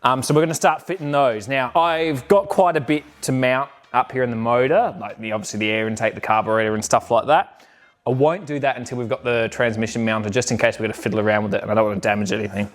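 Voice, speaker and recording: A man's voice: mid-range voice, fast. A man, casually talking while he works on a car in a garage, rambling and correcting himself as he goes. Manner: rambling, self-correcting, offhand. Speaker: car restoration vlogger.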